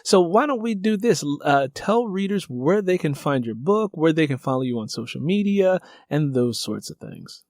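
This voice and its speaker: A man's voice: on the high side, lively intonation, normal speed, few pauses, darkish tone, no echo. Man hosting a conversational podcast, dry close sound. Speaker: podcast host and interviewer. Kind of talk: conversational book interview.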